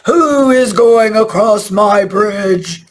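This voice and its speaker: A woman voicing an ugly, greedy, hungry male troll for a children's storybook, growling out slow, menacing threats. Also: medium pitch, slight background noise. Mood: menacing, angry. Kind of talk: children's storybook read-aloud.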